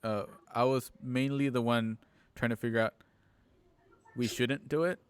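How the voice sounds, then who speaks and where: A man with a smooth, clear voice hosts a casual group chat from home. He explains things in a halting way, often repeating the start of a phrase. The sound is dry and close, with a middling pitch. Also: steady tone, dry recording. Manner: halting, repeats words as he goes. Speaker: host and producer of a streamed radio drama show. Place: at home on a group video call.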